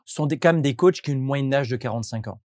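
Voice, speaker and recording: A man's voice: medium pitch, fast, a little mellow, no room sound, studio quality. A man from France, a coach and entrepreneur, answering interview questions in a reflective, explanatory way with frequent restarts.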